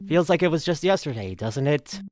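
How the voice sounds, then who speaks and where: A man's voice: fairly high pitch, lively intonation, fairly quick, a little mellow, soft background music, lightly acted. A man playing a flamboyant, roguish young thief with a theatrical manner in a fan audio drama. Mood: wistful. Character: flamboyant, cocky young male thief and rogue.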